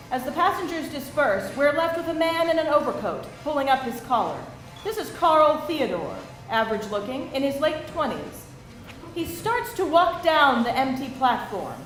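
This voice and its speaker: A woman narrating stage directions from a script at a live table read. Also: high voice. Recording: echoey room with faint hiss.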